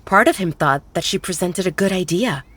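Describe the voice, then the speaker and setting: A woman's voice: lowish voice, animated, a little fast, a bit bright, slightly rough. A woman narrating a werewolf romance audio drama in a scripted storytelling style.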